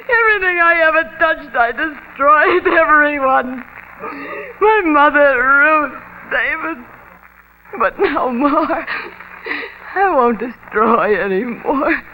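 A woman's voice: high voice, sing-song, takes it slowly, pauses often, a little hiss or hum, full character voice, low-quality recording. An actress playing a distraught young woman consumed by guilt, in a breaking, tearful 1940s melodrama style. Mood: anguished. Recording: old film soundtrack with loud music. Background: dramatic score.

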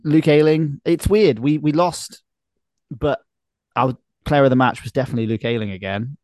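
A man's voice: medium pitch, moderate pace, a little mellow, no echo, clean studio sound. A man hosting a football podcast in casual, conversational chat. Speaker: podcast host. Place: video call podcast.

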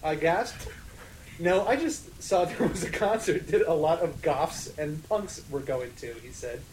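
A man's voice: a little high, unhurried, crowd noise, low-quality recording. A man co-hosting a podcast, giving a mock-serious, theatrical comic reading of fan fiction. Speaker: podcast co-host.

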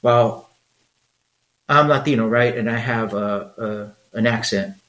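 A man's voice: medium pitch, slow pace, fairly bright tone. Middle-aged Mexican-accented man in an echoey room. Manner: frank, conversational, rambling with asides. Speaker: podcast host. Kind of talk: solo podcast monologue.

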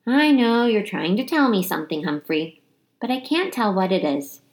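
Woman as a jolly, animated night janitor. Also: medium pitch, normal speed, close to an everyday voice. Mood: kindly. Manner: chatty, exclamatory, jovial. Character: friendly, jolly male night janitor, chatty and playful.

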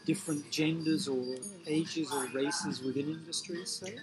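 A man's voice: on the high side, steady tone, unhurried, poor audio. Chatty male podcast host. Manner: chatty, inquisitive. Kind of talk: casual podcast interview. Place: tea room.

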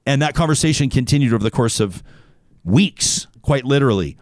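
A man's voice: mid-range voice, animated, brisk, dry recording. A man hosting a talk radio show, reading ads and a listener's email in a studio in an upbeat, conversational way. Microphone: studio microphone.

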